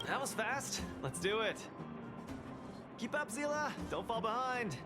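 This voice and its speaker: Exuberant, high-voiced young fighting champion. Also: male voice, unhurried, heavily acted. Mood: cheerful, eager. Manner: excitable, cajoling, exuberant. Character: boisterous, eager young male fighting champion. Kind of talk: video game voice acting. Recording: loud music under the voice.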